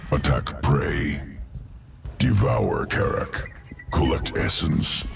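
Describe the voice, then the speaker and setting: A cold alien geneticist from a video game, with a rock-bottom voice full of heavy gravel. The creature talks slowly in clipped, clinical fragments, deadpan and calculating. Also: male voice, full character voice. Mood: clinical, detached. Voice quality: very deep, gravelly, slow. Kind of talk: scripted video game character dialogue. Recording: music behind the voice.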